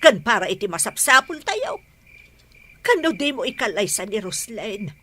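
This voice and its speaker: A woman's voice: medium pitch, sing-song, moderate pace, bright, forceful tone. A woman with an Ilocano Filipino accent playing a nagging, debt-ridden village mother in a radio comedy drama. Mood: pleading, pushy.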